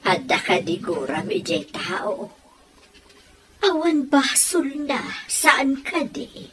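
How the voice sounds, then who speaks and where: A woman's voice: high voice, big pitch swings, takes it slowly, fairly bright tone, slight background noise, full character voice. A woman playing a villager in a comedy radio drama, with an Ilocano Filipino accent. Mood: pleading. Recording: music bed behind the voices.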